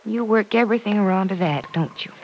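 An actress playing a level-headed young woman who gently teases, in 1940s radio acting style. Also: medium pitch, fast talker, slight background noise, close to an everyday voice. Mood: calm. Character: sensible young woman in love. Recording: dull old radio broadcast.

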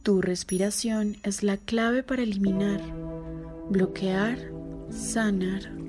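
A woman leads a guided meditation. She speaks slowly at a medium pitch, with music clearly audible behind her. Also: steady tone.

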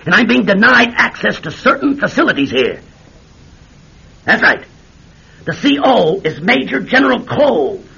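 High, raspy, blustering senator. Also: male voice, bright, forceful tone, exaggerated voice. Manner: blustering, imperious. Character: pompous, bullying senior senator and brigadier general. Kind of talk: classic radio drama acting. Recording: dull old radio drama recording.

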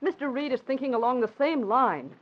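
A woman's voice: sits high, lively intonation, fairly quick. An actress playing an earnest, probing professional woman in a 1940s radio drama. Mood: persuasive. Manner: earnest, questioning, emphatic. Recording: dull old radio recording.

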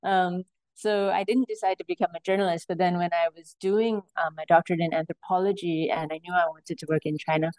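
A woman's voice: on the low side, fairly even intonation, fairly quick, a little mellow, no echo, rough recording. A woman from Singapore, an academic, answering interview questions thoughtfully with frequent hesitations. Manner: thoughtful, many ums and sort-ofs. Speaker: author, professor.

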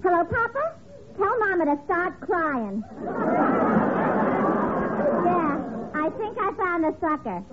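Very shrill, pushy husband-hunting woman. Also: big pitch swings, takes it slowly, pauses often, a loud crowd, exaggerated voice. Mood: eager, scheming. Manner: pushy, comically blunt. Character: brash, man-hungry, husband-hunting single woman. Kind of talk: 1940s radio comedy acting. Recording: tinny, noisy old radio before loud audience.

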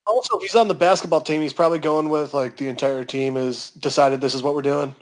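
A man's voice: fairly high pitch, fairly even intonation, quick pace. A man co-hosting a podcast in casual comic banter. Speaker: podcast co-host.

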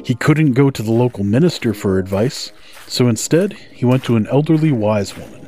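A man's voice: medium pitch, lively intonation, moderate pace, warm tone. A man hosting a podcast, narrating folktales from a script in a storytelling style. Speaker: podcast host. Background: music.

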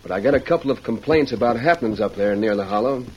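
An actor playing a plainspoken frontier sheriff with a rustic American drawl, in old-time radio Western style. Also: male voice, medium pitch, expressive, quick pace, darkish tone, husky. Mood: matter-of-fact. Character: Western frontier town sheriff, plainspoken, folksy, steady male lawman. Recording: muffled, noisy vintage radio recording.

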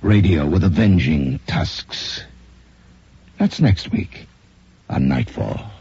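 Very husky, gravelly male horror host. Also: very deep voice, lively intonation, slow, warm tone, dry recording. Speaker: radio drama series host. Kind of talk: ominous scripted narration. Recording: muffled old radio recording.

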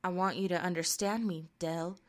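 A woman voicing a quiet young husband who speaks slowly and a little lower. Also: fairly even intonation, natural voice. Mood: earnest. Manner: slow, low and measured.

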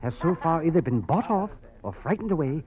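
An actor as Orrin Metcalf, jittery high-voiced lawyer. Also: male voice, lively intonation, brisk, natural voice. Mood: confiding, anxious. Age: 50s. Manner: jittery, urgent, fussy, formal. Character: small, nervous, fussy fiftyish male lawyer. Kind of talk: 1940s radio acting. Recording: dull, band-limited old radio recording.

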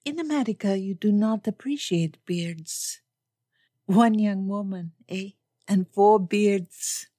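A woman's voice: medium pitch, takes it slowly, mellow, dry recording, studio quality, voice pushed up. A woman voicing a poised, grave young foreign lady in an audiobook, with quaint formal phrasing and a subtly teasing manner.

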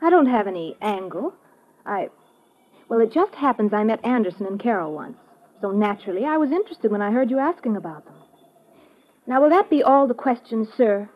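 Cool evasive dame, arch, defensive, fairly high voice, vintage radio thriller, muffled recording. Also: female voice, animated, brisk. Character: evasive, cool young woman with hidden interest. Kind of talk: 1950s radio adventure acting.

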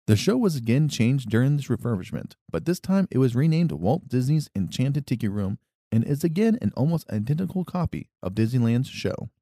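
A man's voice: mid-range voice, big pitch swings, brisk, dark tone, no echo, studio quality. A man co-hosting a podcast, reading a history segment from notes with small stumbles, then chatting casually. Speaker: podcast co-host.

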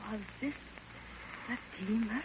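An actress playing a grieving, lovelorn older woman in a classic radio drama, speaking in a halting, theatrical and impassioned way. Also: fairly high pitch, background noise. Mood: anguished confession. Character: grieving, lovelorn old woman pouring out her heart. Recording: muffled vintage radio recording with music.

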